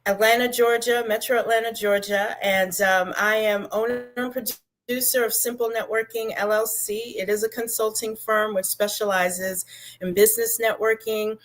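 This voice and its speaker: A woman's voice: a little high, fairly even intonation, moderate pace, low-quality recording. A woman from Brooklyn, a guest on a live-streamed video call interview, talking in an upbeat, articulate, storytelling way. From Brooklyn, New York. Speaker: guest, networking consultant. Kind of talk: livestream interview talk.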